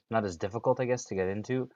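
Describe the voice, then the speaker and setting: A man in his thirties from Canada, a guest on a casual podcast, speaking haltingly with many restarts. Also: lowish voice, vocal fry, a little mellow, dry recording, dull audio. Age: 30s. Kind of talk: casual live-stream chat.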